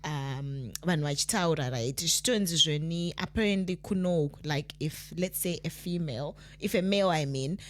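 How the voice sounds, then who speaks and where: A woman's voice: low-pitched, moderate pace, hardly any pauses. A Zimbabwean woman co-hosting a podcast, telling anecdotes in casual English mixed with Shona. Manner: chatty, tells anecdotes, mixes Shona into English. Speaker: podcast co-host. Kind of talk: casual chat.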